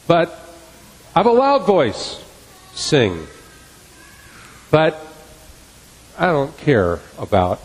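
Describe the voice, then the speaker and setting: A man's voice: mid-range voice, husky. A middle-aged man preaching a sermon as pastor, earnest and repeating key phrases for emphasis. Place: church sanctuary. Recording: long reverb of a church hall.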